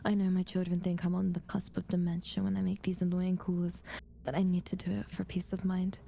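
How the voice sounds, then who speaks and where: A woman's voice: lowish voice, completely flat delivery, fairly quick, a little hiss or hum, voice pushed down. A woman voicing an anxious eighty-two-year-old in a whispered, solemn scary-story confession for ASMR. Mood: uneasy, resigned. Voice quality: breathy, hushed whisper. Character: anxious 82-year-old grandparent haunted by mysterious sympathy cards.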